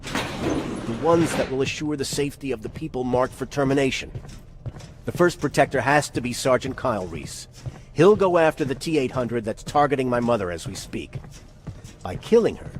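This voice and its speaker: An actor playing a solemn, resolute resistance commander in a video game, speaking in a deliberate, measured way. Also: male voice, mid-range voice, animated, natural voice. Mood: grave, urgent. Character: grave, resolute male resistance commander in a future war. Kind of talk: dramatic video game acting. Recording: game dialogue over loud music.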